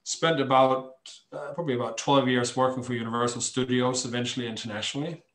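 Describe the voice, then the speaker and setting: A middle-aged Danish man with a mid-range voice tells his story as a guest on a video call. He speaks English with a Danish accent, pausing often and picking his way through phrases. The room around his computer mic is noticeably echoey. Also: medium pitch, steady tone. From Denmark. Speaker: interview guest, charity founder. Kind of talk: conversational interview storytelling. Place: small cluttered room at home, indoors.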